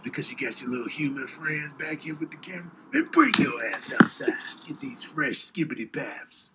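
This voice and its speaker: A man's voice: on the high side, big pitch swings, normal speed, pitched below the performer's own voice. A man voicing a streetwise animal in a comic clip, whining and goading in colloquial talk. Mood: whining, challenging. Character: loud streetwise male animal, whining and confrontational. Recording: noisy compilation clip audio.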